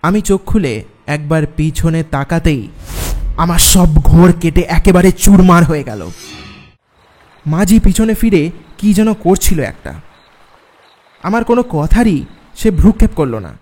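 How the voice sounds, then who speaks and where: Higher-voiced man acting in horror story. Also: animated, unhurried, pauses often, a little mellow. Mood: narrating, uneasy. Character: young office man recounting his eerie night, dismissive, skeptical. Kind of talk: dramatised horror story narration. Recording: music under the voice. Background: background music.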